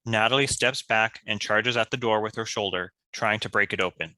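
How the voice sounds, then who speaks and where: Male script narrator, low-pitched yet very bright and forceful, reading stage directions. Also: normal speed, no room sound.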